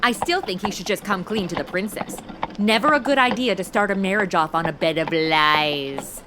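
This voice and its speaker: A woman's voice: mid-range voice, normal speed, noisy background, close to an everyday voice. A woman playing a brash, impulsive modern American sidekick in a comedy audio drama, slangy, blunt and sarcastic.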